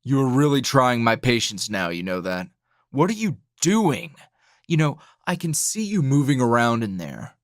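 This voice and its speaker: A man playing a tired, gruff, dryly teasing hero schoolteacher in an ASMR roleplay, speaking low and gravelly in a halting, understated way. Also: very animated, dry recording, clean studio sound. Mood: irritated, weary. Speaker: voice actor.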